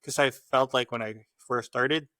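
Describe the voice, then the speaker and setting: A man's voice: mid-range voice, fairly even intonation, a little slow, sharp tone, dry recording, studio quality. A man from the Philippines with a Filipino accent, a guest chatting casually and rambling with restarts. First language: Tagalog. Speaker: guest, lab scientist. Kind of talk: casual conversation.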